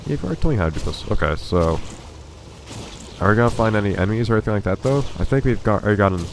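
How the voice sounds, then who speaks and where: A man's voice: lowish voice, animated, racing, slight background noise, rough recording. A man with a stuffy, congested voice giving dry, wry commentary while playing a video game. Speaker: let's play host. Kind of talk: casual gameplay commentary.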